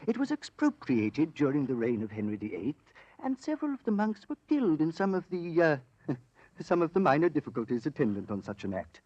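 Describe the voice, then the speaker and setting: An actor playing an eager, courtly investigator of the occult, speaking in a theatrical, emphatic and genteel manner in a 1940s-style radio drama. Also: male voice, a little high, very animated, a little fast, darkish tone, no room sound. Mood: eager storytelling. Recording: dull, dry old radio recording.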